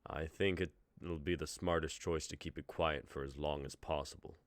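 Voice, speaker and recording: A man reading the part of a calm mentor who keeps things to himself and speaks in a measured, evasive way. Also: a bass voice, no echo.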